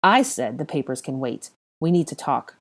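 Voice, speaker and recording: A steady young wizard, voiced by a woman narrator, calm and firm in tone. The voice stays low and even, just a little above her own narrating pitch. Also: normal speed, heavily acted. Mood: stern. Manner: level, firm.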